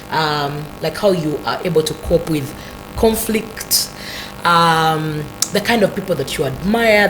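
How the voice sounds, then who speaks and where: A Ugandan woman with a deep, low voice talks through her ideas on a talk show, measured and explanatory. She keeps adding "you know" as she thinks aloud. A clear hum of noise sits under her voice. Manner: thoughtful, explanatory, many "you know" asides. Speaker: guest.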